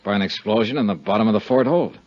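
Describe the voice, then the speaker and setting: A man's voice: fairly low pitch, fast, no echo, lightly acted. A man playing a wry, tough insurance investigator in a 1950s radio detective drama, with a clipped hard-boiled delivery. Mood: dry, guarded. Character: wry, tough male insurance investigator, smooth-talking, narrating his case. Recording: muffled vintage 1950s radio recording, music.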